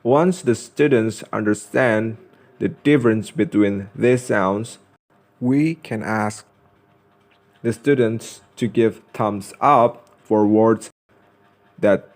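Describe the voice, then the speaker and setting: A young man reads a scripted lesson as a student presenter, carefully and haltingly, pausing in the middle of phrases. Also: on the low side, darkish tone. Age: young adult.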